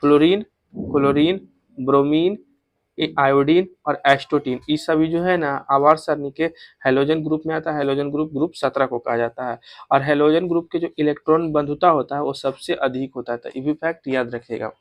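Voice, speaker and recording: A man with a Bihari accent and a slightly high voice teaches in an informal coaching style. He poses questions, answers them himself and repeats the facts, dropping in English terms. The sound is dry, with very little room echo. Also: fairly even intonation, moderate pace, muffled recording. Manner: repetitive, drilling facts with self-posed questions; mixes in English technical terms. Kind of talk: informal exam revision lesson.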